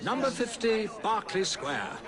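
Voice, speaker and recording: A man playing a genteel, theatrical Victorian gentleman in a video game, telling ghost stories in florid, articulate speech. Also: high-pitched, sing-song, moderate pace, crowd noise. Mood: theatrical, eerie. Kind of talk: dramatic ghost-story narration in a video game.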